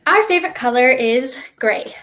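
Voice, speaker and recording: Casting: woman as level-headed, quick-witted kid for a stage play, high-pitched and wary. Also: lively intonation, a bit bright, slight background noise. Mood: urgent, calming. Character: cautious, quick-witted child stowaway, the level-headed one. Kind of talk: staged reading of a play over video stream.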